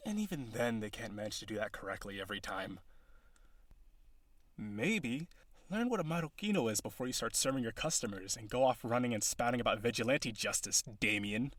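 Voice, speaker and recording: A man's voice: mid-range voice, lively intonation, fairly quick, a bit bright, no room sound. A man playing a cocky, flirtatious businessman villain on a date in an audio roleplay, with a sardonic, teasing, conversational delivery. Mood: exasperated, smug.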